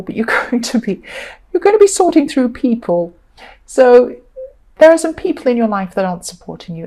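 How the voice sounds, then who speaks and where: A woman with a croaky voice giving a chatty, reassuring tarot reading, full of fillers and restarts. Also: medium pitch, darkish tone. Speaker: tarot reader. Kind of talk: solo tarot reading monologue.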